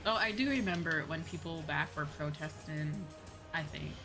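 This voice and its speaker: Female game streamer, low-voiced, informal and rambling, clear music underneath. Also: moderate pace. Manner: rambling, informal, thinks aloud. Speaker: livestreamer playing an online game. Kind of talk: casual livestream chat with game commentary. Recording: music behind the voice.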